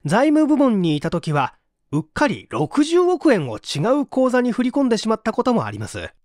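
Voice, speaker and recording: A man narrating a book as scripted audiobook reading, expressive and clearly articulated. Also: extremely high pitch, normal speed, no echo, clean studio sound.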